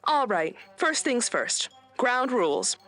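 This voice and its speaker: Radio play sidekick acted by a woman: affectionate, bossy local guide, fairly high voice, faint music behind. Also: sing-song, slow, a bit bright, exaggerated voice. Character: chipper, bossy, affectionate female alien guide.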